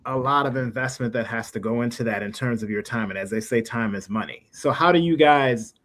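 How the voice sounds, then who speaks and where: A man's voice: medium pitch, brisk, a bit bright. A man hosting a casual interview, rambling his way around to each question. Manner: rambling, circles around questions before landing them. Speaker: interview host.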